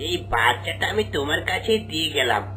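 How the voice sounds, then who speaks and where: A woman doing a very deep hushed voice. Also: expressive, fast. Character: hushed person leaving a baby behind. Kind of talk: cartoon voice acting. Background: music.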